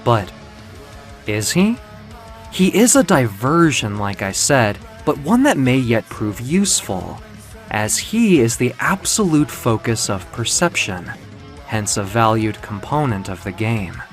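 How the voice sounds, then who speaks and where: A shadowy mastermind from an audio comic, acted out by a man. He is commanding and calculating, with a pompous, measured delivery. Music runs behind him. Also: medium pitch, very animated.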